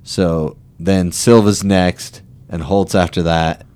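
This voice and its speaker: A man's voice: deep voice, steady tone, slow, darkish tone, clean tone. A married man co-hosting a sports podcast, chatting casually and telling jokey stories. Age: adult, married. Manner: joking, tells stories with quoted voices. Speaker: podcast co-host. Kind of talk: casual chat.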